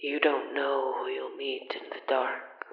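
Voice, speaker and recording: A man acting a brooding figure in an audio horror drama, with a deliberate, dramatic delivery. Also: mid-range voice, monotone, creaky, narrow, tinny audio. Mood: ominous warning. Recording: roomy, echoing sound.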